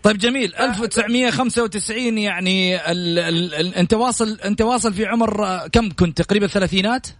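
A Saudi man hosts a live call-in radio show in a high-pitched voice at a very rapid clip. He probes and teases as he questions, and the sound is dry and close. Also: fairly bright tone, dry recording. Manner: teasing, probing questioner. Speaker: radio sports talk show host. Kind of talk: live radio chat with callers.

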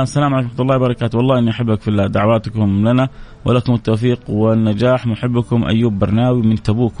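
A man's voice: on the low side, a little mellow. A man hosting a live radio program, reading out listeners' names and messages and answering each with a blessing.

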